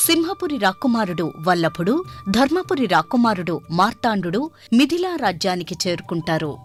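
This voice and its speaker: A woman's voice: medium pitch, big pitch swings, moderate pace, faint music behind. A woman reading scripted narration for a moral story as its narrator.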